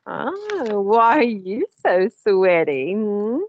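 A woman playing a calm, motherly mother. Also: medium pitch, slow pace, no room sound, phone-quality sound. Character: caring mother of grown children.

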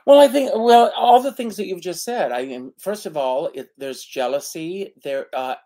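Halting man in his sixties. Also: sits high, animated, mellow. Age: 60s. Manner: halting, lots of "uh" fillers. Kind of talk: casual podcast chat.

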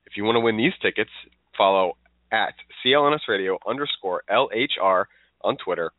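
Congested male podcast co-host, lowish voice, tinny dry phone-like audio. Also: animated, brisk, no echo. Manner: rambling, loose with restarts. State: stuffed up, congested. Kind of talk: casual sports podcast chat.

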